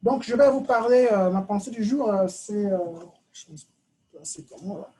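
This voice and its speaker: A man's voice: sits high, normal speed, warm tone. A man from Cameroon, giving a short, informal club speech with slides and many hesitations. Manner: informal, hesitant with frequent fillers. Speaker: club member giving the thought of the day. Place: meeting in front of an audience.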